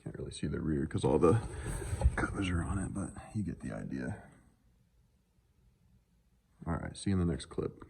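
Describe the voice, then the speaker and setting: A man's voice: extremely low pitch, moderate pace, vocal fry, pauses often, a little mellow, slightly rough. A man talking casually and off the cuff in a laid-back vlog about his vehicle project. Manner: laid-back, offhand, unscripted. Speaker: car vlogger showing truck modifications. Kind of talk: casual handheld vlog chat.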